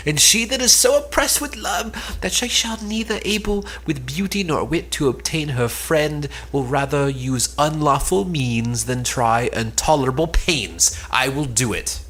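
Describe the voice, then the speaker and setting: A man playing a scheming, lovesick woman in an Elizabethan stage drama, declaiming with drawn-out anguish and spite. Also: on the high side, a bit bright.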